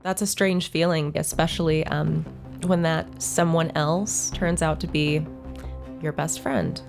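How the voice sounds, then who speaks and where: A woman's voice: deep voice, flat delivery, a little slow, creaky. A woman playing a disgraced young beauty influencer, wry and hurt, being interviewed in a scripted drama. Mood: wounded, disbelieving. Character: wounded, bitter young female beauty influencer, publicly disgraced. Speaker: interview guest. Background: music bed.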